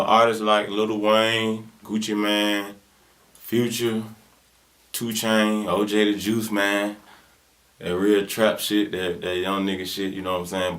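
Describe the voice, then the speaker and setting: A man's voice: fairly low pitch, fairly bright tone. A man in his twenties with a Southern US accent, a rapper answering interview questions in laid-back, slangy talk full of fillers. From Birmingham, Alabama. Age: 20s.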